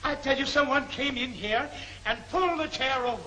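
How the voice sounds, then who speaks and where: High, excitable man. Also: fairly quick, slight background noise, poor audio. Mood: agitated. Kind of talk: 1940s film serial acting. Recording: old film soundtrack with faint music.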